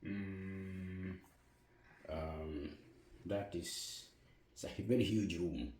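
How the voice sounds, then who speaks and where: A man's voice: sits low, fairly even intonation, very slow, a little mellow, a little hiss or hum. A man with a Kenyan accent, a nurse who owns a care home, giving brief answers and often repeating himself. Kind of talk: casual tour conversation.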